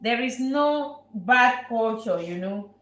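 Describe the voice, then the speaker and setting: A woman chef talks warmly and a little repetitively as she hosts a live cooking stream. Her voice sits a touch high, and it rings in an echoey kitchen room. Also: lively intonation, slow pace. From Nigeria. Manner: warm, repetitive, answers viewer questions. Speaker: chef and channel host. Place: restaurant kitchen.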